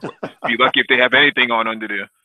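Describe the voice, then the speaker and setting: A man's voice: medium pitch, fairly even intonation, quick pace, bright, forceful tone, no echo. A man co-hosting a casual political talk, rambling through many fillers and breaking into crude jokes and swearing. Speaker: podcast co-host. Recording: dry tinny phone-line sound.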